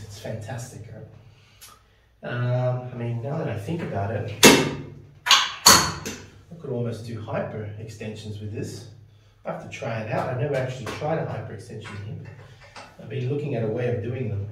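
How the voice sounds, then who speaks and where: A man in his thirties talks casually about his home gym setup, chatty and rambling, often ending with "right?". The voice is mid-pitched and heard from a distance, with strong room echo and a clear layer of background noise. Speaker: fitness video host. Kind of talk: casual equipment walkthrough. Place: small home gym with power rack, indoors. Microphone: camera mic from a distance. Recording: very echoey room, camera far off.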